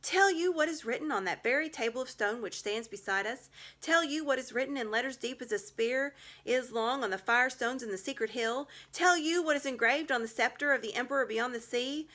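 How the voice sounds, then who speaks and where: A woman reads as a cruel storybook witch queen. She is haughty and savage, with a raised, sharp, forceful voice that declaims every claim as a command. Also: high voice, a little fast, hardly any pauses, voice pushed up. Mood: shrill outrage.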